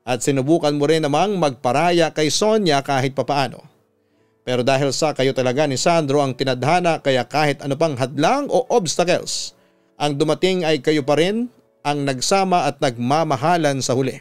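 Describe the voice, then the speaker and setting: A Filipino man hosting a radio story program, reading scripted listener letters and giving advice in an earnest, measured way. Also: fairly high pitch, smooth. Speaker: radio host and story narrator. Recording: music under the voice. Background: background music.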